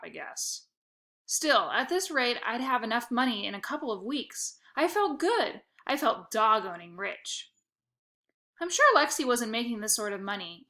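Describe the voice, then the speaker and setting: Bright, expressive woman reading a children's book. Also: a little high. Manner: expressive, playful first-person reading. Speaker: narrator. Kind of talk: reading a children's novel aloud.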